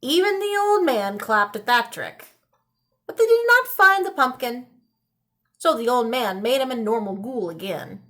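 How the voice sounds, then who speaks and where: A woman reading a children's story aloud in a dramatic, expressive style with deliberate pauses. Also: on the high side. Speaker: storybook reader. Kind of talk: animated children's read-aloud.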